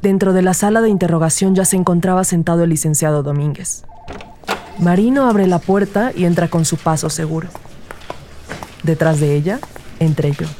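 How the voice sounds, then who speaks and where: Rookie detective played by a woman, audio drama narrator-lead; soft dark tone, measured and earnest. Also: fairly low pitch, a little hiss or hum, lightly acted. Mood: measured, grave.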